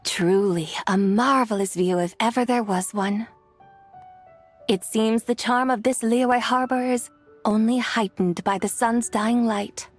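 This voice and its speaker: A woman's voice: on the high side, steady tone, a little slow, soft background music. A woman playing a regal, curious immortal visitor in a video game, speaking in a formal, archaic and stately manner.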